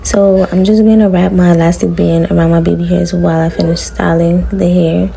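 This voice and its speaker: A young woman talks her viewers through a hair tutorial in a relaxed, chatty way. Her raspy voice sits low and dark with a gravelly edge. Faint room noise hums under the camera mic. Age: young adult. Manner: relaxed, chatty, drawn-out slang. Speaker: beauty vlogger. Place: small room before a green screen.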